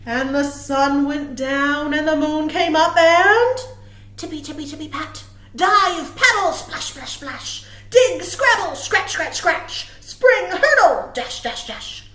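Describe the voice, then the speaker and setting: A high-voiced woman reads a children's story in a roomy, echoing space. She works as a storytime librarian, giving words dramatic emphasis and speaking expressively to her young listeners. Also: animated, takes it slowly, fairly bright tone. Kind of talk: animated read-aloud to young children.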